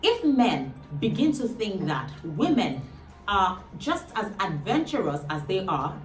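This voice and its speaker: Nigerian woman, animated intonation, opinion piece, roomy and distant sound over faint music. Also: medium pitch, measured pace. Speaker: interviewee. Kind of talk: giving opinions to camera.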